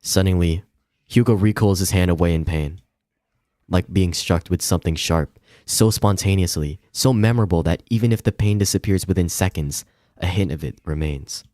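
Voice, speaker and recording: A man reading a visual novel aloud as dramatic, measured narration. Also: low-pitched, a little mellow, no echo, clean studio sound.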